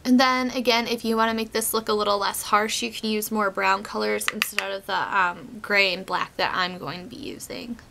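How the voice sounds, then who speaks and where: Young woman, bright tone, chatty with fillers, getting over a cold, close webcam, small bedroom. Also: mid-range voice, normal speed, gravelly, few pauses, slight background noise. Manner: chatty, relaxed, many fillers like "um" and "like". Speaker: beauty vlogger. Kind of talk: casual makeup tutorial.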